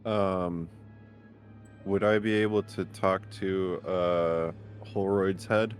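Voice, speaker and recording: A man's voice: low voice, fairly even intonation, slow pace, soft background music. A man talking tentatively and casually as a player in a tabletop game.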